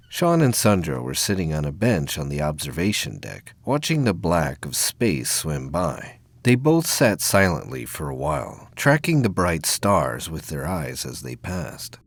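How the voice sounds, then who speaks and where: Gravelly, very deep male sci-fi narrator. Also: very animated, unhurried, no echo. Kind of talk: scripted science fiction audio drama narration.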